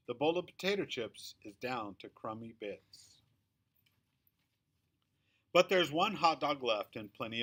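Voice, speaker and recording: A man reading a children's story aloud in the first person, with expressive, emphatic storytelling. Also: mid-range voice. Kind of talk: scripted children's book read-aloud, first-person narration.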